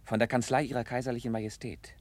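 An actor playing a formal, discreet tsarist prison official in a German radio play, speaking in a measured way. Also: male voice, mid-range voice, level tone, dry recording, natural voice. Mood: official, composed.

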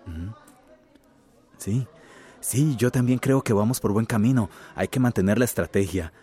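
An actor playing a smug, scheming company informant reporting to his boss in a radio drama, with a hushed, deferential manner. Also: lowish voice, moderate pace, husky. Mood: conspiratorial.

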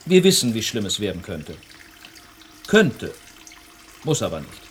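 A dismissive, evasive official whose voice swings widely in pitch. His delivery is brusque and patronizing, in radio drama style, with faint noise behind it. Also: sing-song, measured pace. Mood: urgent pleading. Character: evasive male forestry official, dismissive, patronizing, quietly threatening. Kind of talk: German radio play acting.

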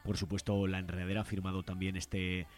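Low-pitched Spanish man on radio. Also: completely flat delivery, brisk, loud music behind the voice. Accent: Spain. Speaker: radio show host. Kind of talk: radio commentary and announcements.

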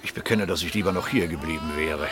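An actor playing a talkative, amused Danish baron at court, gossiping with urbane, courtly politeness in a German period radio play. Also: male voice, rumbling low, quick pace. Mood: amused, gossipy. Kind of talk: German radio drama acting, period piece.

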